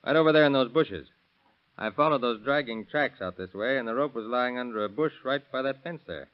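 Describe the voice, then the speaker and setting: An actor playing a diligent, plain-spoken sheriff's deputy, folksy and matter-of-fact, in a 1930s radio crime drama. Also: male voice, medium pitch, animated, a little fast, clear, resonant tone, close to an everyday voice. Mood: earnest. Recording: dull, muffled vintage radio recording.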